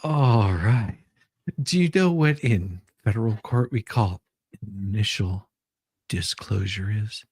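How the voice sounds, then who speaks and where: A man playing a questioning attorney in an amateur dramatic reading of a deposition, halting and repeating his words. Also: fairly low pitch, expressive, darkish tone, husky, no echo, clean studio sound, full character voice. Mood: questioning, patient.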